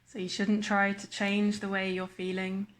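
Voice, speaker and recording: A woman guiding a body scan meditation in a slow, measured manner with long pauses. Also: medium pitch, completely flat delivery. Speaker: meditation guide.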